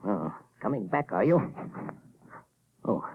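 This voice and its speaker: An actor playing a shifty, nervous professor in an old radio mystery, stammering and blustering. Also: male voice, on the low side, sing-song, slow pace, faint music behind, full character voice. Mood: flustered. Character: shifty, nervous, crooked male professor with a guilty secret. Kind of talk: 1940s radio mystery acting. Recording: noisy, tinny old radio recording.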